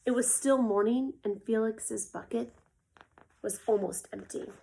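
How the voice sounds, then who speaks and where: A woman reading a children's story aloud in an expressive, deliberate storytelling manner. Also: a little high, vocal fry, husky, poor audio. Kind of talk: scripted children's read-aloud narration.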